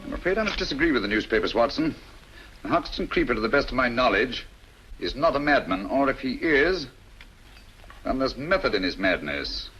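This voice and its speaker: Bright-voiced, incisive British detective. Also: male voice, medium pitch, big pitch swings, brisk, muffled recording, slight background noise. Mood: assured, reasoning. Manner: crisp, emphatic, deductive. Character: brilliant, incisive male detective, commanding and dryly witty. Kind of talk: old radio and film drama acting.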